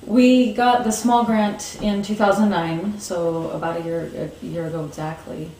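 A woman, answering interview questions thoughtfully with pauses and restarts, seated in a room with bookshelves. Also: fairly low pitch, fairly even intonation, a little mellow, echoey room, far from the mic, slight background noise, low-quality recording. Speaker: market organizer.

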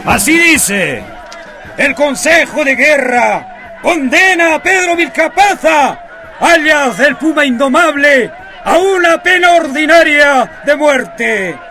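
An actor playing a stern colonial official, declaiming solemnly like a town proclamation in a radio drama. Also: male voice, very high voice, lively intonation, slow pace, sharp tone, shouting, some chatter behind, exaggerated voice. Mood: stern, proclaiming.

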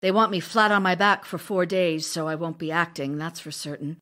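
A woman's voice: on the low side, normal speed, fairly bright tone, close to an everyday voice. A woman playing an ailing, lonely actress wife in an audio drama of love letters, speaking in a theatrical, confiding way. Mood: grieving.

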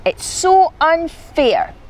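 A woman's voice: on the high side, big pitch swings, unhurried, poor audio. A woman voicing a mischievous, fidgety young schoolgirl in a children's storybook, with a plaintive, exclaiming manner. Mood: sulky, indignant.

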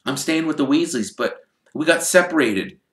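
A man's voice: fairly high pitch, animated, normal speed, pitched above the performer's own voice, close to an everyday voice. A man voicing a polite, earnest young boy who explains things plainly, read in an echoey room. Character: polite, earnest twelve-year-old boy.

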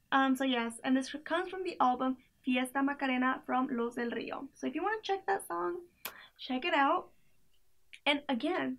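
A woman's voice: high-pitched, vocal fry, fairly bright tone. A young woman in a small indoor room, chatting casually about songs in a playlist, full of fillers and giggly asides. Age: young adult. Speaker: playlist reviewer. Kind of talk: casual chat.

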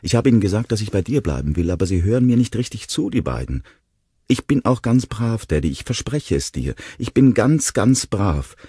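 A man's voice: lowish voice, sing-song, a little fast, dark tone, husky, dry recording, clean studio sound, voice pushed up. A man voicing a small tearful boy who whimpers and pleads with his father in a storybook narration. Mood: whimpering, pleading. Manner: whimpering, imploring.

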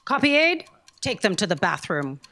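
A woman's voice: medium pitch, big pitch swings, normal speed, sharp tone. A woman playing an impatient, imperious editor boss in a staged comedy audio drama, with a dry, commanding delivery. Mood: dry, stern. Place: theatre stage. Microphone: stand microphone.

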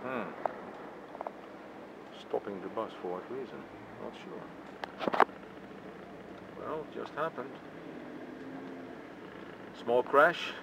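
A man's voice: mid-range voice, expressive, vocal fry. A man with a Dutch accent gives a casual, musing commentary to himself while driving through town, filmed from the dashboard. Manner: slow, musing, talks to himself in short remarks. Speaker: vlogger filming from a car. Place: inside a car in city traffic. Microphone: camera mounted on dashboard. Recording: noisy car interior with engine.

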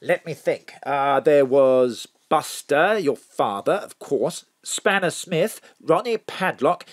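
An actor in an audio drama playing a helpful, chatty police contact with a husky voice. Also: mid-range voice, lively intonation, slow. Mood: musing, helpful.

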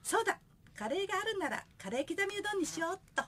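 Young singer daughter, female actor: eager and cheeky, bright high voice, comic radio timing. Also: sing-song, rapid-fire, heavily acted.

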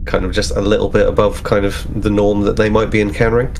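A man with a fairly low voice plays a tabletop roleplaying game. He improvises casually and haltingly, thinking aloud over faint ambient noise. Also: level tone, a little mellow. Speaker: player in a roleplaying podcast.

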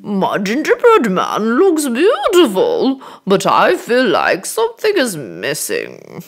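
A woman voicing a kindly, fretful old lady in a children's cartoon fairy tale, exclaiming with drawn-out words. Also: medium pitch, wildly swooping pitch, soft background music, pitched below the performer's own voice, heavily acted. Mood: fond, musing.

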